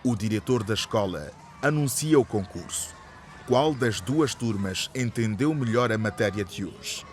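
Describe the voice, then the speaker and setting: A man's voice: on the low side, expressive, measured pace. A man narrating a scripted radio drama. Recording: faint background noise.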